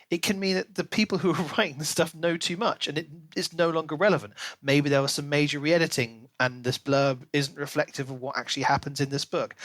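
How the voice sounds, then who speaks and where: A man hosting a podcast, casually discussing and reviewing a book with animated retellings and asides. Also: on the high side, no room sound. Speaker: podcast host.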